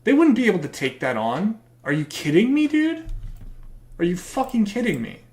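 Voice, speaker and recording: Brief: hoarse male streamer, combative, profane, emphatic rants, slightly higher voice. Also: huge pitch swings, moderate pace, a little mellow. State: losing his voice. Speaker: livestreamer reacting to a video. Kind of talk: heated live reaction commentary.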